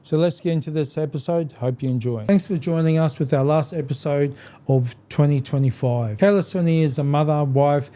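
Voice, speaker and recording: A man's voice: on the high side, a little fast, warm tone. A man speaking as a podcast co-host, introducing the show and chatting conversationally with his guest.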